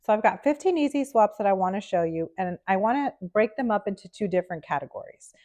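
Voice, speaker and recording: A woman's voice: mid-range voice, animated, quick pace, mellow. A woman nutritionist vlogging to camera, upbeat and chatty, with a nasal sound from a cold, checking in with "okay?". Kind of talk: direct-to-camera health vlog.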